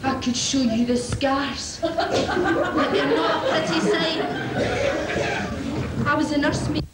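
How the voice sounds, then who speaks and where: A woman's voice: sits high, slow pace, a loud crowd. A woman with a Scottish accent playing a cheeky, downtrodden out-of-work nursemaid in an amateur pantomime, projecting broadly from the stage. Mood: aggrieved. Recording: echoey hall stage, distant mic, noisy audience.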